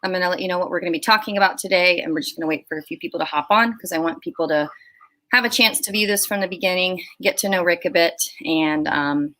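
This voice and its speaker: Bright, chatty woman host. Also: lowish voice, fast talker, poor audio. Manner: upbeat, chatty. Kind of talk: live-stream interview.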